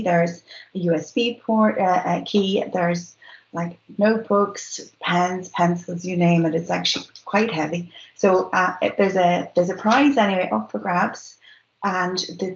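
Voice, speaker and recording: A woman hosting an online event, chatty and friendly, with many fillers. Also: fairly low pitch. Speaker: event organiser and host. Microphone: video call. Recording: echoey, lo-fi video call audio.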